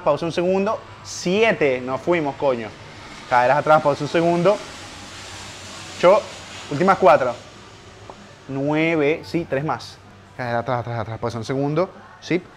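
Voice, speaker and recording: A man's voice: fairly high pitch, a little hiss or hum. Young man coaching reps in a garage. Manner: urgent encouraging counting of reps, pushes harder near the end. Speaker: personal trainer. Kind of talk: live coaching a workout. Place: home garage gym, indoors.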